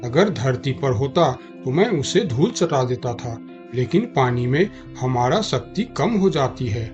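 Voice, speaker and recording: A man's voice: medium pitch, lively intonation, a little slow, lo-fi sound, music in the background, lightly acted. A man voicing a proud but skeptical and gullible male jungle animal in a cartoon story. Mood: troubled, doubtful. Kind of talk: Hindi cartoon story dialogue.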